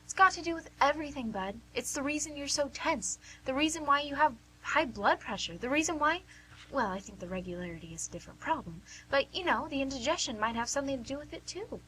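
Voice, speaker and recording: A woman's voice: high-pitched, expressive, fairly bright tone, rough recording. A woman acting as a wry, knowing nurse who teases and probes in an amateur radio play, recorded with some hum. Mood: coaxing. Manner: teasing, probing, deliberate.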